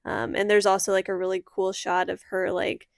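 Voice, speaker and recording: A woman's voice: mid-range voice, little pitch movement, moderate pace, vocal fry, no room sound, studio quality. A woman co-hosting a horror review show, talking in a casual, conversational way about films. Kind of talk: casual conversational film discussion.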